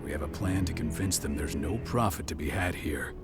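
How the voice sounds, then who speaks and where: A man playing a firm, cautious leader of a werewolf band in a video game, measured and authoritative. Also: very deep voice, over loud music. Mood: businesslike. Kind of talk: dramatic video game acting.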